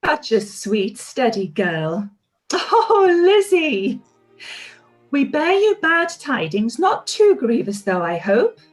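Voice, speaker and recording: A warm, gushing aunt, voiced by an actress, her voice high and very lively in its pitch. She talks expressively in a live-read radio play. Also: takes it slowly, soft background music, full character voice. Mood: fond. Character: warm middle-aged aunt, fond of home.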